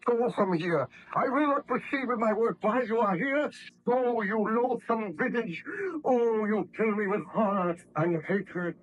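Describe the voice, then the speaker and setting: Dull old radio recording, a tormented defiant scientist, high impassioned voice, theatrical 30s delivery. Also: male voice, a little slow, a little hiss or hum. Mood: horrified, loathing. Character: anguished young scientist baron, horrified and defiant. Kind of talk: 1930s radio acting.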